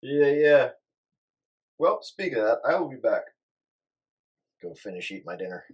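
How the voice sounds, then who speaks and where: A man's voice: medium pitch, sing-song, moderate pace. A man chatting casually over a two-way radio as a fellow operator. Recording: very noisy, staticky CB radio signal. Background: loud static and clicks.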